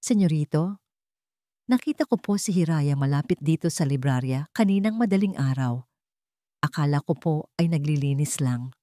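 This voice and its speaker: A woman's voice: low-pitched, animated, moderate pace, mellow, no echo, clean studio sound. A woman playing a nervous housemaid informant in a dramatic audio story.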